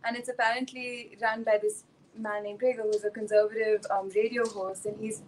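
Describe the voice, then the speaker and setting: Higher-voiced young woman, faint background noise. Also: level tone, normal speed, poor audio. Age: young adult. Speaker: contributor on a live show. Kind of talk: casual explaining.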